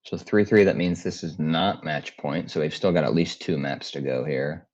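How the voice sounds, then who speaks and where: A man with a deep voice giving casual, laid-back live commentary on a game match, thinking aloud with fillers. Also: moderate pace, a little mellow, lo-fi sound. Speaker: tournament commentator. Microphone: home streaming mic, lower quality.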